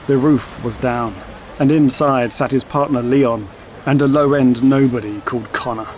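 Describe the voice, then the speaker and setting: A man's voice: medium pitch, steady tone, moderate pace, darkish tone, slight background noise. A man reading scripted narration for an audio drama.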